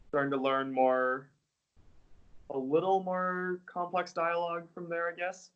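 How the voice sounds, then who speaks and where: A young man, an English teacher, answering interview questions in a relaxed, casual way, hedging often with "like" and "I guess.". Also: mid-range voice, clean tone, lo-fi sound. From United States.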